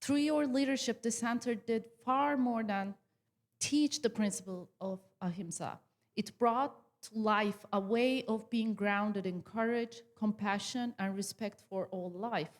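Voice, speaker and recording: A woman's voice: mid-range voice, measured pace. A woman from Turkey, reading a gratitude letter aloud in an earnest, formal way at a public farewell event. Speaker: audience member. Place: event hall. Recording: long hall reverb.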